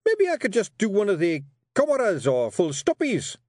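Brief: loud, high, growling Scottish pixie brawler, as a man puts him on. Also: huge pitch swings, moderate pace, no echo, studio quality, exaggerated voice. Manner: growling, blustering. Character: gruff small male warrior pixie chief. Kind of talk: audiobook character voice.

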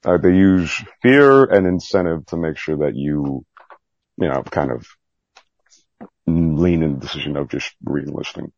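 Man, very low husky voice, rambling candid chat, self-deprecating, salty language, muffled roomy recording. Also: measured pace, a little mellow. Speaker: podcast guest, Navy veteran. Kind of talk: candid casual interview chat.

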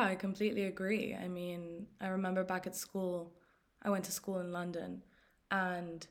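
A woman hosting a podcast, opening with a read intro and then asking questions in a friendly, chatty way. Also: on the low side. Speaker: podcast host. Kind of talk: interview hosting.

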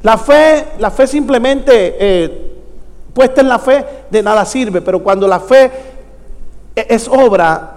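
A male preacher with a very high-pitched voice delivers a sermon in a hall with long reverb. He exhorts the congregation, repeats key phrases for emphasis and keeps prompting them with questions.

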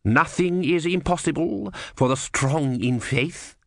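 A man's voice: on the high side, creaky, no room sound, studio quality, pitched above the performer's own voice. Man acting a stern, solemn church lord. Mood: pious, measured. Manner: slow, measured, solemn. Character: stern, pious, coldly commanding male church lord.

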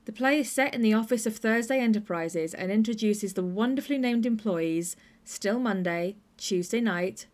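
A woman's voice: medium pitch, moderate pace, a little mellow. A woman podcast host giving a scripted introduction to an audio play.

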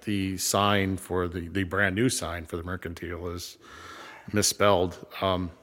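A man from California who later lived in Minnesota, chatting casually and unscripted, halting and correcting himself as he rambles. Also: low-pitched, little pitch movement, a bit bright. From San Francisco Bay Area, then Minnesota. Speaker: co-creator and actor of a fictional small-town show. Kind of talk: casual unscripted backstory discussion. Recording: echoey room with long reverb.

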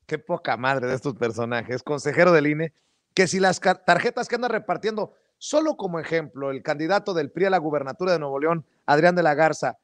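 A man's voice: high voice, no room sound. A man with a Mexican accent hosting a political talk show, giving colloquial, emphatic news commentary straight to his listeners.

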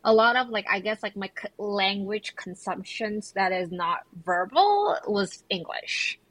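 A woman's voice: medium pitch, expressive, measured pace, a bit bright, no room sound. A young woman from Indonesia, a podcast guest, talking casually and filling her speech with "like". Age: young adult. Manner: chatty, heavy use of "like" fillers. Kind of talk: casual conversational interview.

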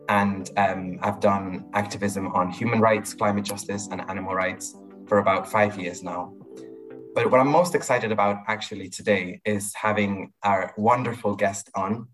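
A man's voice: on the low side, low-quality recording. A man in his early twenties from Spain with a Spanish accent, hosting a podcast with earnest, slightly formal delivery. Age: early 20s. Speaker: podcast host. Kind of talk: scripted podcast intro and interview questions.

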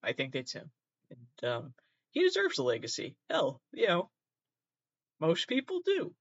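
A man's voice: on the high side, huge pitch swings, unhurried, husky. A man hosting a casual, rambling reaction commentary, with sardonic, sweary asides and muttered self-talk. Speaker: host. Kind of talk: casual rambling reaction commentary, reading aloud from web articles. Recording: roomy, slightly dull lo-fi sound.

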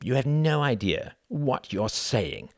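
A man's voice: on the low side, very animated, brisk, no echo, studio quality, voice pushed up. A man voicing a bitter, irritable upper-class grown son in a storybook narration, sneering, sarcastic and melodramatic. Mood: angry.

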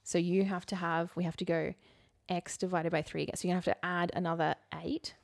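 A woman's voice: fairly low pitch, level tone, fast talker, vocal fry. A woman co-hosting a podcast, chatting casually in a playful, mock-serious way as she talks things through. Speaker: podcast co-host. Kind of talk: casual comedic chat, working through a formula aloud.

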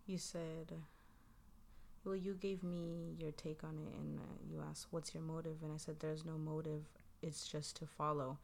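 A woman with a low voice chatting casually as a podcast guest, recounting an earlier conversation. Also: flat delivery, measured pace, creaky.

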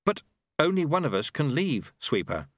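An earnest young monk, stubborn and quick to protest, his voice raised well above a plain speaking pitch and moving lively up and down, as a male narrator plays him. Close, dry sound. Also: dry recording. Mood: firm earnest. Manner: earnest, indignant, firm.

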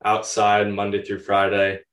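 A man's voice: fairly low pitch, completely flat delivery, unhurried, a bit bright. A young man who sounds a little stuffed up, co-hosting a podcast with casual fantasy football analysis. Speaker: podcast co-host. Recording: roomy echo, distant from mic.

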